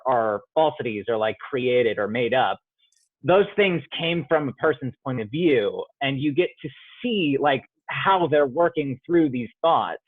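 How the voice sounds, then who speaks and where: A man's voice: mid-range voice, no room sound. A male playwright answering interview questions thoughtfully, often restarting as he searches for words, heard over a video call. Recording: dry tinny phone-like audio.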